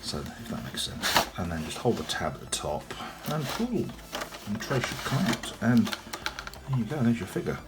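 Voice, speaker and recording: Young man, low gruff cold-roughened voice, loose rambling toy-review delivery. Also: big pitch swings, normal speed, heavy background noise. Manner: rambling, chatty, frequent ums. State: has a cold, voice deep and gruff. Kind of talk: casual unboxing review. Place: indoors at a table, off camera.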